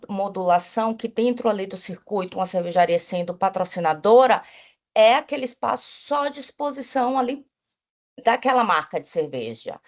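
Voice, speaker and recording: A woman talks through her agency's work at a panel. She is enthusiastic and often restarts her words, adding "né?" as she goes. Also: mid-range voice. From Bahia, Brazil. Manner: enthusiastic, with frequent restarts and "né?" tags. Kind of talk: panel talk.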